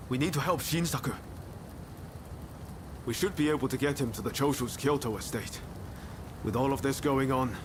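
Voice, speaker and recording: An actor playing an earnest, resolute samurai-era rebel weary of bloodshed, in a video game cutscene. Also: male voice, mid-range voice, background noise, close to an everyday voice.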